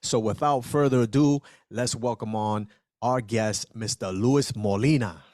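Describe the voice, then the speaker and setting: Male podcast host, enthusiastic, casual, mid pitch, dry close sound. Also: measured pace, darkish tone, no room sound. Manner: enthusiastic, repeats "outstanding". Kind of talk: upbeat podcast hosting.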